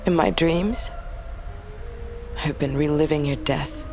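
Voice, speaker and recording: An actress playing a grieving, fierce but lonely young princess of a wolf tribe in a fantasy audio drama, speaking in a solemn, intimate, halting way. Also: female voice, low voice, a little mellow. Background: music.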